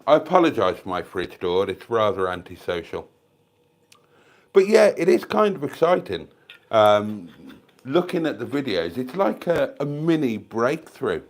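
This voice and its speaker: A man's voice: mid-range voice, sing-song, measured pace. An older man with a British accent hosting a casual livestream from home, congested with a cold and coughing, calling his viewers darlings in a rambling, self-mocking way. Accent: British English. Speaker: YouTube livestream host. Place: at home, near the fridge. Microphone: desk microphone.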